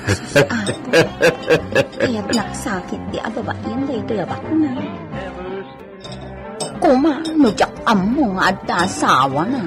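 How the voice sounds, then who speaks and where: A woman's voice: mid-range voice, sing-song, takes it slowly, pauses often. A woman with a Filipino accent playing a bashful, giggly unmarried village woman of thirty in a comedy radio drama, coy and whiny. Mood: wistful. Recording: music bed under the voice.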